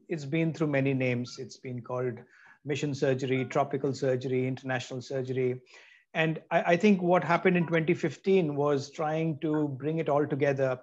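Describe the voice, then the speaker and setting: Reflective man on a muffled video call. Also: mid-range voice. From India. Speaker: panelist. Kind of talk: panel discussion. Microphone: webcam on a video call. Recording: dull video call audio.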